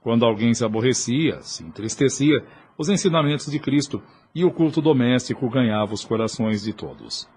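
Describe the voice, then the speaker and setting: A man's voice: mid-range voice, animated, moderate pace, few pauses, darkish tone, breathy edge. A man with a Brazilian accent reading scripted, dramatic narration for an audio novel.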